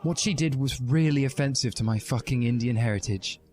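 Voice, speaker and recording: A man's voice: medium pitch, brisk, a little mellow, close to an everyday voice. A man voicing a tearful, melodramatic teenage schoolgirl in a comic story reading. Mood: tearful, offended. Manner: sobbing, melodramatic. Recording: music behind the voice.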